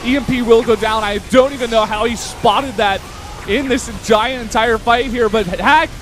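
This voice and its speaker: A man's voice: very high voice, moderate pace, slight background noise. A young man giving color commentary on a strategy game, laid-back and analytical, chuckling often. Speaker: esports co-caster. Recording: commentary over loud game music.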